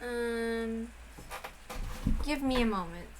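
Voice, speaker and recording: A woman's voice: on the high side, slow, background noise. A woman reading a visual novel aloud, moving between casual chat and story narration.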